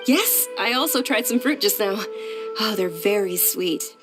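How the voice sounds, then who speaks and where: A woman's voice: mid-range voice, animated, a little slow, over music, close to an everyday voice. A woman voicing a sweet, polite young lady in a game, speaking with delight. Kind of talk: game cutscene dub.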